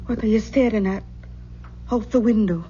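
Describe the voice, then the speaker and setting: A woman's voice: medium pitch, normal speed, close to an everyday voice. An actress playing an anxious, kindly Scottish aunt, hesitant and motherly, in an old-time radio drama. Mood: gently coaxing. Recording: muffled, faintly noisy old radio recording.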